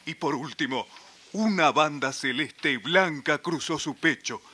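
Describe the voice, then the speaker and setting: A man's voice: fairly high pitch, unhurried, sharp tone. A man with an Argentine accent playing a solemn, dramatic narrator in scripted radio theatre. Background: music.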